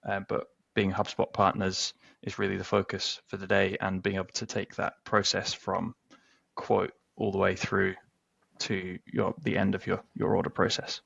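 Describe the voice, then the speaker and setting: Gravelly flat-voiced man, dull dry sound. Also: low-pitched, dry recording. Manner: measured, explanatory. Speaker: webinar guest, founder. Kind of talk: casual webinar chat.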